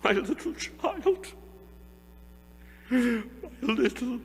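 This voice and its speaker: Man doing a gentle grieving father, choked and tearful, storybook reading, echoing hall. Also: very high-pitched, animated, drawn-out words, vocal fry, voice pushed up, heavily acted. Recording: hall reverb, faint mains hum.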